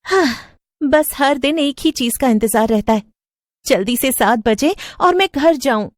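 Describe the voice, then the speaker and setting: A woman voicing an anxious, lonely young receptionist in an animated story, expressive, questioning and hesitant. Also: high-pitched, a little slow, no room sound, clean studio sound, voice pushed up. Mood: bored, puzzled. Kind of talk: dramatic cartoon story voice acting.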